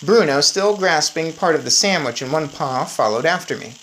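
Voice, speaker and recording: Low-voiced woman, very animated storyteller. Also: moderate pace, a little hiss or hum. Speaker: read-aloud storyteller. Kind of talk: expressive children's book reading with informal asides. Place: indoors in an attic.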